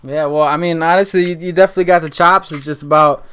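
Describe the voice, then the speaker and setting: A man in his twenties hosting a relaxed interview show, easygoing and rambling with lots of fillers. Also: a little high, quick pace, slight background noise. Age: 20s. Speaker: podcast host. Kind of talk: casual interview chat.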